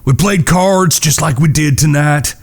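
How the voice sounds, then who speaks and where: A man playing a vengeful, coldly taunting gunslinger in a Western audio drama, with a drawl, husky and profane. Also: on the high side, unhurried.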